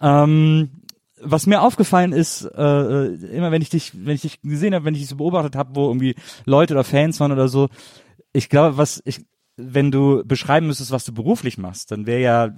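A man's voice: medium pitch, fairly quick, darkish tone, no room sound, studio quality. A man hosting a casual interview podcast, with a wordy, circling way of phrasing things. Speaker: podcast host. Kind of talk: casual interview, chatty introduction.

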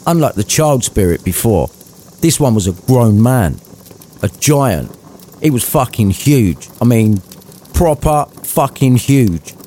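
Lively man narrating, mellow voice. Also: medium pitch, takes it slowly, a little hiss or hum. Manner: cheeky, conversational asides. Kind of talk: irreverent storybook narration. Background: background music.

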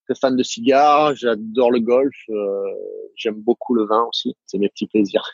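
A middle-aged man from the south of France with a southern French accent, answering interview questions in a halting way, with many fillers and repeated words. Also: mid-range voice, fairly even intonation, slightly rough, no room sound, lo-fi sound. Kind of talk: casual interview talk. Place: living in the United States.

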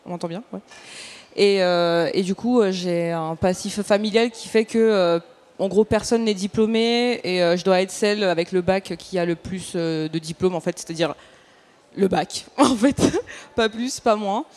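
A young woman in her twenties from the French suburbs speaks to an audience in a mid-range voice. Her style is informal and casual, with halting, hesitant phrasing. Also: mid-range voice, darkish tone. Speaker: activist panel speaker. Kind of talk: informal self-introduction to an audience.

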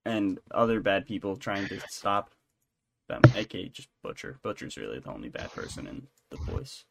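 A man talking casually as a podcast co-host, in a halting, rambling way. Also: fairly low pitch, big pitch swings, creaky, very noisy. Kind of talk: casual chat.

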